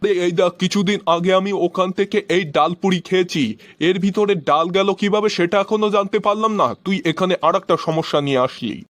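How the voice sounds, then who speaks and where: A man's voice: high voice, normal speed, fairly bright tone, slight background noise. A man voicing an exasperated, easily irritated character in cartoon comedy skits, with a grumbling, scolding manner.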